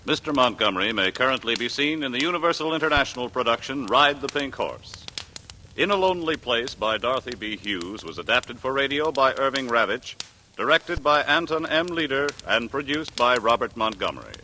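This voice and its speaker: A man's voice: mid-range voice, lively intonation, brisk, sharp tone, a little hiss or hum. An American man reading scripted credits as a 1940s network radio announcer. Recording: vintage 1940s radio recording.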